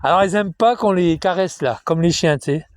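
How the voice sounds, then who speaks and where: High-voiced chatty man in a cow pasture. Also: a little fast. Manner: chatty, repetitive, coos to the cows in a high sing-song voice. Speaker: vlogger filming cows. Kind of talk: casual rambling talk to camera.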